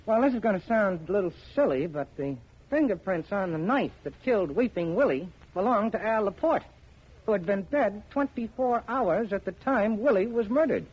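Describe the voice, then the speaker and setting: A high, husky police technician. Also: male voice, expressive, moderate pace. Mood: puzzled. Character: deferential, tentative police fingerprint man. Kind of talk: 1950s radio drama acting. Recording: muffled old radio recording.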